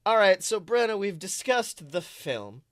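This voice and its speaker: A man hosting a podcast in casual, chatty banter, excitable and jokey with theatrical emphasis. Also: sits high, measured pace, no room sound, studio quality. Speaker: podcast host.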